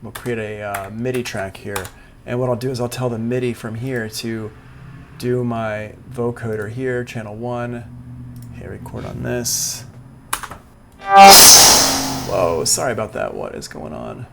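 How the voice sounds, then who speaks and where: A man teaching music production in a live software tutorial, talking casually and thinking aloud as he works. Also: mid-range voice, a little slow, gravelly, long pauses. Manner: casual, informal. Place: indoors, livestream class.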